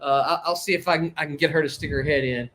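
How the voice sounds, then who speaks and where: A man co-hosting a casual livestream chat from an outdoor balcony over a video call, talking loudly and laughing often as he reads viewer comments. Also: a little high, fairly even intonation, fast. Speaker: podcast co-host. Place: outdoor second-floor balcony. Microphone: earbuds on a video call.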